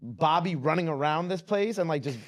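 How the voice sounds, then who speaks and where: A man's voice: a little high, lively intonation. A man in his twenties hosting an interview, asking long rambling questions full of fillers in a casual tone. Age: 20s. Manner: rambling questions full of "like", quick to laugh along. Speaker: interview host.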